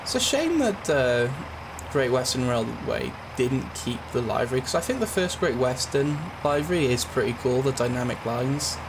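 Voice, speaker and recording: A young man with a slightly raspy, hoarse voice from a cold, chatting loosely with lots of fillers while playing a train simulator. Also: mid-range voice, big pitch swings, normal speed. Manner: rambling, chatty, with frequent fillers. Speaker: gaming channel host. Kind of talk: casual commentary over a train simulator. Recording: loud game train noise behind.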